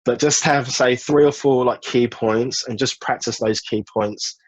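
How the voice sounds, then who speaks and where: Male guest, relaxed, lots of fillers, muffled lo-fi audio, dry room. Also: medium pitch, a little slow, slightly rough, dry recording. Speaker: guest, job search business director. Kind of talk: casual interview chat.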